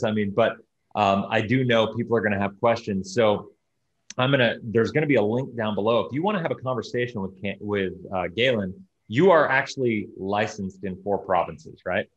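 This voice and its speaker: Casting: American male host, lowish voice, informal and wordy, recorded in an echoey room. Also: muffled recording. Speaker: video host interviewing a guest. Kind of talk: casual conversational hosting. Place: small indoor home office with bookshelves, on a video call. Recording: roomy, echoey video call.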